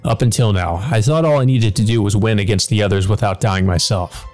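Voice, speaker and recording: A man's voice: lowish voice, brisk, darkish tone, faint music behind, close to an everyday voice. A man reading the part of a proud, frustrated mage in a visual novel, grave and brooding. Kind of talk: visual novel first-person monologue read aloud.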